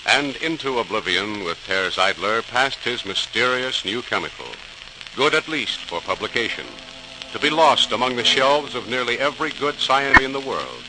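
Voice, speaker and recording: A man's voice: mid-range voice, lively intonation, moderate pace. Male narrator for a vintage radio docudrama: formal, theatrical, widely swinging intonation, hissy old recording. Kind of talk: dramatic scripted 1940s radio narration.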